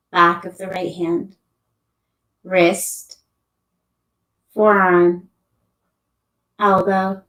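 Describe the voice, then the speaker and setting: Female yoga nidra guide: gentle, leisurely delivery, mid-pitched, roomy amateur recording. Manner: gentle, unhurried, soothing instruction. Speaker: meditation teacher. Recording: echoey room, amateur sound quality.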